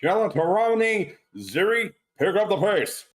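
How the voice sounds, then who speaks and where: A man's voice: high-pitched, animated, a little slow. A man reading a brusque, taunting creature male in a screenplay table read, with a curt delivery. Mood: barking, harsh. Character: brusque creature males: gruff coach, snide teenage brother.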